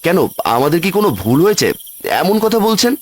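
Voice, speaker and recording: A man playing an excitable city office worker on a village trip in a cartoon ghost story. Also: sits high, normal speed, pitched above the performer's own voice. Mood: uneasy. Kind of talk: cartoon horror story voice acting.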